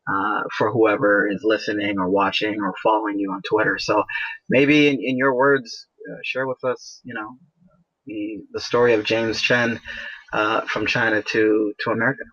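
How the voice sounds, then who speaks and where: Podcast host, a man from Canada with a lowish voice; rambling, restarts a lot, dry, muffled sound. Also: flat delivery, moderate pace, a bit bright, no echo. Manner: rambling, with many restarts and "you know". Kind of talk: casual podcast interview.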